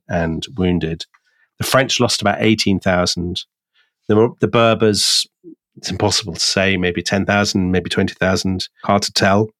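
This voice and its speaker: A man co-hosting a podcast, telling a history story in a measured, deliberate way with pauses. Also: lowish voice, fairly bright tone, dry recording. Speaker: podcast co-host.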